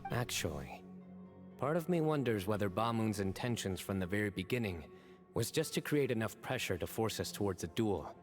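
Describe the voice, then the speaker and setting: An actor playing a composed, earnest young general in a video game, speaking in a measured, formal, deliberate way. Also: male voice, lowish voice, expressive, lightly acted. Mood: analytical. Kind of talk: scripted video game dialogue. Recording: music behind the voice.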